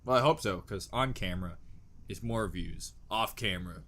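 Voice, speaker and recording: A man's voice: sits low, normal speed, fairly bright tone, slight background noise. A man hosting a reaction video, giving casual, irreverent comedic commentary full of jokey asides.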